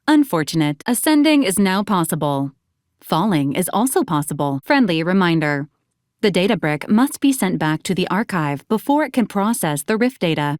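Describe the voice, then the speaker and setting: A woman acts out a female robot companion from a video game, coolly analytical and unfailingly polite. The delivery is deadpan and formal, in clipped, matter-of-fact phrases at a medium pitch. The sound is close and dry, with no room around it. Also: dry recording, lightly acted. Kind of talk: scripted video game character lines.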